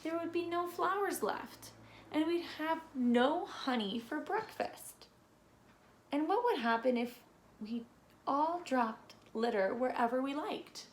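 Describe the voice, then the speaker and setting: Female storybook reader, expressive and deliberate, unhurried delivery, echoey recording with faint background noise. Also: high-pitched. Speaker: read-aloud presenter. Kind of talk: expressive children's storybook read-aloud.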